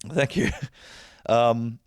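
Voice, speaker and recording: A man hosting a podcast in casual chat, with a deep bassy voice and a rambling, laid-back way of talking. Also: very slow, clean tone, no room sound, studio quality. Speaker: podcast host. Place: lying back while recording.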